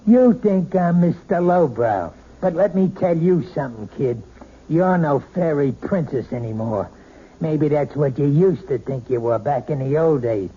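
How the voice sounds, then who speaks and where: An actor playing a crude, hot-tempered, money-minded husband who badgers bluntly in a radio drama. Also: male voice, on the high side, mellow. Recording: muffled, noisy old radio recording.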